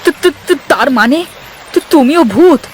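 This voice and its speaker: A man's voice: very high voice, expressive, unhurried, slight background noise. A man voicing a timid, kindly young village boatman in a cartoon, exclaiming and easily frightened. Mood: terrified. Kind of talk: cartoon voice acting.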